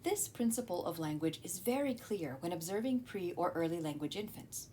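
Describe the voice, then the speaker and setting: A woman narrating a scripted educational video as a teacher, explaining clearly. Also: animated, moderate pace, dark tone. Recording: noticeable low rumbling background noise.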